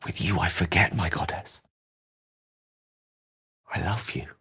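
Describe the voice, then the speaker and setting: An actor playing an eloquent, wry wizard in a video game, speaking in articulate, theatrical phrases with an earnest streak. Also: male voice, sits low, takes it slowly, bright, forceful tone, husky, no echo, clean studio sound, exaggerated voice. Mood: tender, sincere. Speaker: video game companion character. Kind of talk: scripted video game voice acting.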